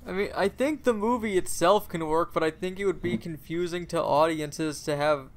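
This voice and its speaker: A man's voice: a little high, moderate pace. A man co-hosting a podcast, chatting casually in a wry, joking way over background noise. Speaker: podcast co-host. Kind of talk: casual opinion chat.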